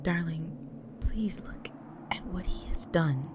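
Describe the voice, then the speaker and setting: A woman playing a grave, devoted vampire wife in an intimate audio roleplay, speaking solemnly to the listener in an often breathy, whispered delivery. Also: fairly low pitch, takes it slowly. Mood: pleading, earnest.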